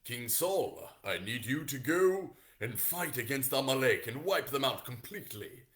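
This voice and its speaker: A man voicing a stern old prophet in a told story, deliberate and insistent. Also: mid-range voice, animated, close to an everyday voice. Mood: commanding. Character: stern old male prophet giving solemn commands.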